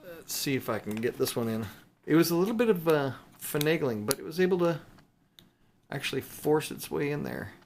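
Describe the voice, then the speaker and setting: A man's voice: a little high, sing-song, a little fast, gravelly, a little mellow. Casual rambling man with background noise. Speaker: video host showing silver bullion purchases. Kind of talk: casual unscripted show-and-tell chat.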